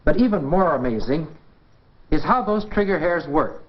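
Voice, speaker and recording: A man's voice: on the high side, lively intonation, normal speed. An American man presenting a 1950s educational science film, explaining in a conversational, folksy way with rhetorical questions. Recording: dull 1950s film soundtrack.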